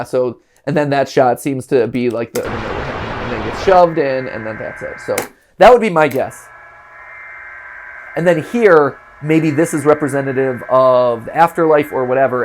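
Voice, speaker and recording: Male host, mid pitch, casual reaction chat, playful and animated. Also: normal speed, background noise. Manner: animated, jokey, breaks into silly voices.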